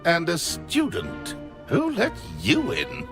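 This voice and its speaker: A man's voice: on the high side, huge pitch swings, takes it slowly, over music, heavily acted. A man voicing a haughty, mocking, hearty knight ghost in a video game, theatrical and sneering. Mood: suspicious. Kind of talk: video game character acting.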